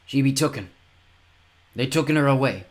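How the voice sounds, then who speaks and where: A man voicing a hoarse, coarse, mistrustful poor mother who speaks abruptly in broken dialect. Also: medium pitch, moderate pace, pitched above the performer's own voice, natural voice. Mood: gruff.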